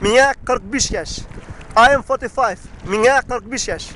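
A man's voice: very high voice, normal speed, bright, forceful tone, slight background noise, poor audio. A man, teaching a casual outdoor language lesson on a boat, patiently repeating words. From Bashkortostan. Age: 30s. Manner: patient, repetitive, drills words twice. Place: outdoors on a boat on a wide river. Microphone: camera mic, selfie framing at arm's length.

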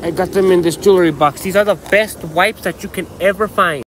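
A man's voice: high voice, a little fast. An American man in his thirties vlogging casually into his phone, with a slangy, profane, boastful way of talking. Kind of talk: casual rambling phone vlog. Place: outdoors on a dirt path by a canal. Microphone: phone held at arm's length. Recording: outdoor ambient noise on phone.

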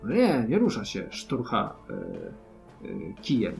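A man's voice: mid-range voice, huge pitch swings, unhurried, darkish tone. A man narrating an improvised tabletop role-playing game as game master. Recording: music behind the voice. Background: instrumental music.

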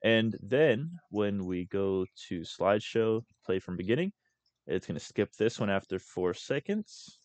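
A young man hosting a casual screen-recorded software tutorial as a voice-over. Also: lowish voice, animated, measured pace, no echo. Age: young adult. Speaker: tutorial host.

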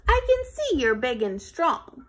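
A woman's voice: sits high, wildly swooping pitch, unhurried, a bit bright, slight background noise, full character voice. A woman voicing a tiny, plucky ant in a rhyming storybook, imploring and emphatic. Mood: earnest. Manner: imploring, emphatic sing-song rhyme.

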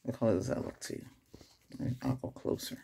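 A middle-aged man doing a soft-spoken ASMR doctor roleplay, murmuring unhurried questions close to the mic in a creaky, breathy voice. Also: a little hiss or hum. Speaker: ASMR performer playing an ER doctor examining a patient. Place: small indoor setup with cloth backdrop.

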